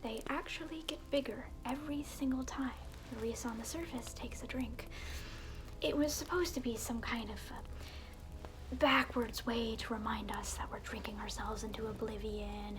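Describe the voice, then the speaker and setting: A woman's voice: on the high side, natural voice, poor audio. A woman whispering in ASMR roleplay as a smug evil alter ego who rules over hell, teasing and gloating at the listener. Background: clear music.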